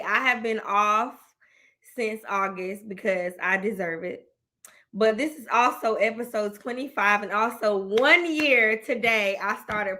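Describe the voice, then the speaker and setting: A woman in her thirties hosting a casual chat show, excitable and chatty, laughing often and using slang. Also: medium pitch. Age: 30s. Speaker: host.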